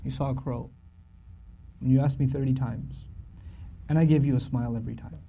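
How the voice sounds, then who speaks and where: A man voicing a gentle, patient father who speaks softly and pleads kindly with his son. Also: mid-range voice, fairly even intonation, a little fast, slight background noise, natural voice, poor audio. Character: gentle loving father, patient, later elderly.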